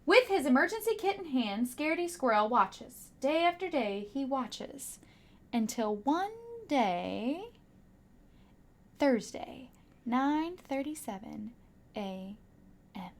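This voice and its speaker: A woman teacher reading a children's picture book aloud with dramatic emphasis and hushed asides to young listeners. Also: high voice, animated, slow pace, long pauses.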